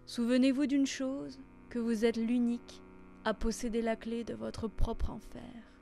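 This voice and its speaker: A woman recites dramatic verse over instrumental music. Her voice sits a little on the high side. The delivery is theatrical, deliberate and solemn. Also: flat delivery, vocal fry, mellow.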